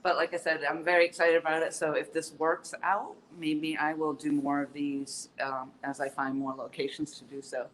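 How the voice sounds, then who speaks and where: A woman's voice: sits low, level tone, normal speed, hardly any pauses, rough recording. Woman, stuffy nose, sniffly, chatty live-stream host, outdoors on a handheld phone, faint background noise. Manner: chatty, upbeat, greets viewers by name, many ums. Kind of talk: casual live-stream chat. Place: outdoor castle courtyard.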